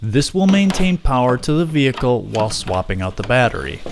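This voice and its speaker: A man's voice: medium pitch, very animated, fairly quick, vocal fry, noisy background. A man reading scripted how-to narration as the host of a video.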